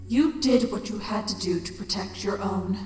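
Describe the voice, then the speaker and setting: A woman's voice: mid-range voice, moderate pace, lightly acted. Woman playing a weary insect queen in echoing reverb. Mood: bitter, scornful. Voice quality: tired, weary. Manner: deadpan, scornful. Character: dying, bitter female changeling insect queen villain. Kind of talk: dramatic fan fiction audio drama acting. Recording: long echoing chamber reverb, music.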